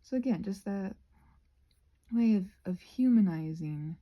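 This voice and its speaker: A woman chatting in a rambling, halting ASMR style, soft-spoken and often slipping into whispers. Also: mid-range voice, animated, lo-fi sound. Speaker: ASMR creator rambling while painting a craft project. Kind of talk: ASMR rambling casual chat.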